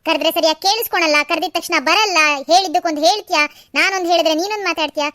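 Woman as a fast-talking, nagging mother-in-law; high voice, dry close sound. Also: dry recording. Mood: scolding. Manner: nagging, sharp scolding. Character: stern scolding middle-aged mother-in-law. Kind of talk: Kannada audio drama.

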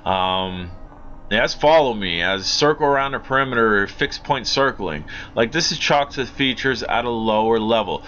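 American man, lowish pitch, bright forceful tone, casual reviewer commentary, enthusiastic, informal, slightly noisy recording. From Pennsylvania, United States. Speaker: drone reviewer. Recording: dull lo-fi audio with faint noise.

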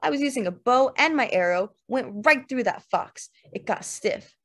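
A woman voicing a loud, drunk, volatile man in dramatized audiobook dialogue. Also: on the high side, animated, normal speed, pitched above the performer's own voice. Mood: boastful.

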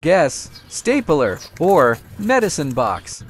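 Quiz host for short guessing-game prompts, slow delivery, swooping pitch, very noisy clicky recording. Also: huge pitch swings.

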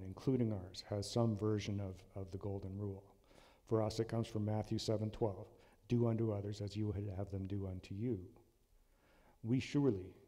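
A man in his sixties giving an earnest, measured sermon from a church lectern, with frequent ums and restarts. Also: on the low side, dark tone. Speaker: lay preacher. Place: church sanctuary. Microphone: lectern mic. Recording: long hall reverb in a church.

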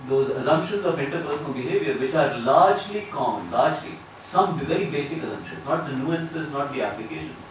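A man with an Indian accent speaks at a panel in a big hall, his voice bouncing around the room and picked up from a distance. He talks in a measured way, pausing often with fillers, and a steady hiss sits under his words. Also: fairly high pitch. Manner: measured, hesitant with many fillers. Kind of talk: panel discussion remarks. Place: conference hall panel table. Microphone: table mic, far camera. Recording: echoey hall, distant mic, noise.

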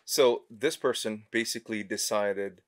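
A man in his thirties co-hosting a casual chat show, laid-back and quick to laugh, often stalling and restarting his sentences. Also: on the low side. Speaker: podcast co-host. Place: small studio set, indoors.

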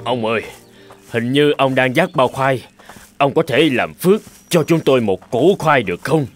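A man's voice: mid-range voice, expressive, soft background music, close to an everyday voice. Man playing a polite weary refugee father. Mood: weary, pleading. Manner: polite, measured, pleading. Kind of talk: cartoon folk-tale acting.

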